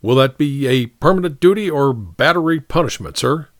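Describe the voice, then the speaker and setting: A man's voice: medium pitch, animated, normal speed, no echo, studio quality, voice pushed up, close to an everyday voice. A man voicing a shrewd, unflappable first sergeant in an audiobook, plain-spoken yet formally respectful. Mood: steady, respectful. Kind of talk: audiobook character dialogue.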